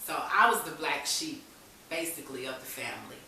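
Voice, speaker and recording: A woman's voice: deep voice, animated, brisk, sharp tone. A woman telling her own story as an interview guest, speaking candidly and with resolve in a personal, conversational way. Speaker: talk show guest in recovery. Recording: very echoey room, distant mic, hiss.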